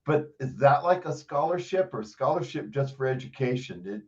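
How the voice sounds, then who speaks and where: A man's voice: mid-range voice, animated, normal speed. Tentative man on a dull call. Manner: polite, tentative. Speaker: meeting participant. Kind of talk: informal questioning. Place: online video or teleconference. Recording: dull, lo-fi conference call audio.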